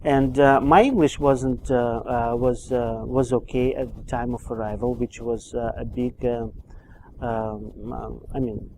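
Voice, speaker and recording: A man's voice: mid-range voice, warm tone, a little hiss or hum, poor audio. A middle-aged man from Moldova with a Moldovan accent, speaking in a hesitant, filler-heavy way with frequent restarts, answering questions in an oral history interview. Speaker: immigrant interviewee.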